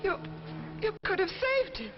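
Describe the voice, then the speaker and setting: A woman's voice: extremely high pitch, big pitch swings, a little slow, heavily acted. Casting: a devoted, morally troubled lady in fifties TV drama, imploring, echoing, dull vintage recording. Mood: reproachful. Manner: earnest, imploring. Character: gentle, devout, troubled woman, devoted to her man. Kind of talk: 1950s television drama acting. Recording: reverberant muffled 1950s TV soundtrack, music behind.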